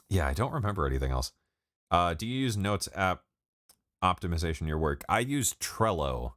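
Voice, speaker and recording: A man's voice: low voice, a bit bright, dry recording, studio quality. A man in his late twenties chatting casually with viewers on a live stream, rambling, swearing and laughing a lot. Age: 20s. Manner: rambling, profane, laughs often. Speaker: music producer streaming live. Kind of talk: casual livestream chat with viewers.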